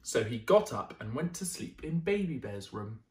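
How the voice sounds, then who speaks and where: A young man reading a bedtime picture book to children in an expressive, deliberate way. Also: medium pitch, far from the mic, slight background noise. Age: young adult. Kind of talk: animated children's story reading. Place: small indoor room, seated. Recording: roomy indoor echo.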